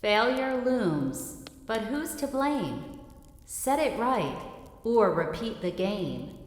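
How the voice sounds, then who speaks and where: Echoing, slow, eerie riddle woman voiced by an actress. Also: a little high, very animated, slight background noise. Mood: ominous, solemn. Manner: slow, drawn-out, portentous. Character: solemn, eerie woman reciting ominous rhyming riddle clues. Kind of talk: slow rhymed recitation in an audiobook. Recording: echoey long hall reverb effect.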